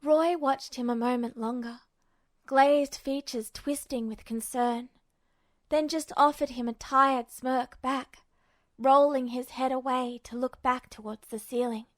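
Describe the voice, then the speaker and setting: A woman reading a story aloud as an amateur fiction narrator, in an expressive scripted style. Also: sits high, unhurried, no echo.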